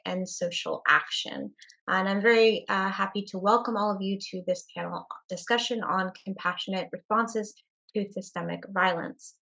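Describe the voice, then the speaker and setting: A woman moderating a panel, reading introductions in a measured way with frequent "uh" fillers. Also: mid-range voice, a bit bright, low-quality recording.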